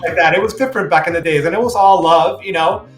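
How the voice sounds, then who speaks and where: A man's voice: sits high, fast talker, soft background music. A man in his forties from Puerto Rico, with Spanish as his first language, talking casually as a podcast guest over a video call from a room at home, full of "you know" fillers. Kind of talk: casual interview talk. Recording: roomy video call audio.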